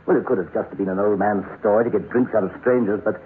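An actor playing a sceptical, uneasy male visitor, brisk and questioning, in a 1960s radio drama. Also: on the low side, fairly even intonation, close to an everyday voice. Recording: noisy, muffled old radio recording.

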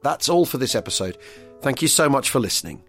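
A man's voice: medium pitch, big pitch swings, fast, music in the background. A man presenting a podcast in scripted narration, introducing readings and giving credits.

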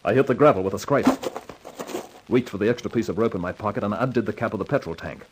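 An actor playing a cool, wisecracking hardboiled man in 1950s radio drama style, with a wry, unhurried delivery. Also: fairly low pitch, lightly acted. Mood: tense narration. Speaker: lead actor and narrator in a crime radio drama. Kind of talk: 1950s radio acting, hardboiled first-person narration. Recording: noisy vintage 1950s radio recording.

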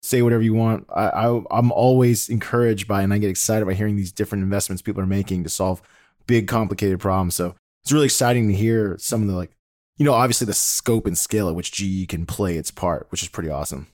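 A man's voice: on the low side, quick pace, a little mellow, no echo, clean studio sound. A man hosting an interview show, chatting in an informal, enthusiastic way. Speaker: podcast host, interviewer. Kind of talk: casual interview chat.

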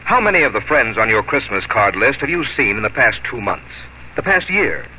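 A man's voice: medium pitch, sing-song, a little fast. A man announcing on 1950s radio, reading a scripted sponsor message in a polished, persuasive way. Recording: muffled, hissy old radio broadcast.

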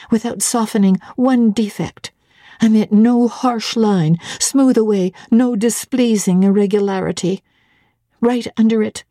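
A woman's voice: mid-range voice, warm tone, dry recording, studio quality. A woman playing a young governess who sternly scolds and commands herself in a dramatic literary monologue. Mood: stern commanding. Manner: severe, commanding, scornful.

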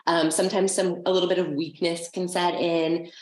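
Woman, speech therapist guest, enthusiastic, vocal fry trailing off, lowish voice, reverberant room. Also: level tone, moderate pace. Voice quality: vocal fry at phrase ends. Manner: enthusiastic, explanatory. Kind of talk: interview explanation.

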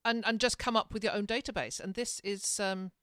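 A woman with an English accent worn down by years in Canada, co-hosting a casual podcast chat, laughing often and pausing on fillers. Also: medium pitch, steady tone, fairly bright tone, no room sound, studio quality. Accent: English, softened by years in Canada. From England. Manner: chatty, laughs easily, hesitant with fillers. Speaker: podcast co-host.

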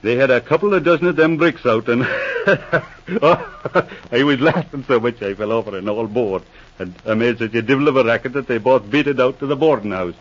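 An actor playing a jolly, talkative Irish Mountie with a folksy, chuckling manner, in 1940s radio acting style. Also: male voice, medium pitch, brisk, close to an everyday voice. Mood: amused. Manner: chuckling, folksy, rambling storyteller. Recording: muffled old 1940s radio recording.

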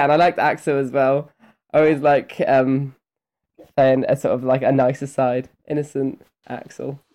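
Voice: medium pitch, a little mellow. A voice actor chatting casually in an interview, rambling and hesitant with a giggly edge.

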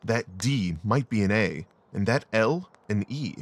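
A man playing a brilliant, coolly rational gentleman detective in an audio drama, speaking in a precise, clipped, analytical way. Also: fairly low pitch, lively intonation, a little slow. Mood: cool, detached.

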